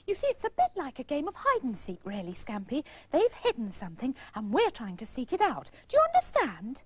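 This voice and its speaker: A woman's voice: sits high, wildly swooping pitch, a little fast, breathy edge, exaggerated voice. A woman voicing a childlike girl puppet in a children's show, plaintive, dramatic and easily indignant. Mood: coaxing, scheming.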